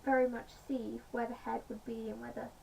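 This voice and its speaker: A woman with a slightly croaky voice, chatting casually in a home vlog with many pauses and fillers. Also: fairly high pitch, level tone, darkish tone. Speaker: vlogger. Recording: distant mic, faint background noise.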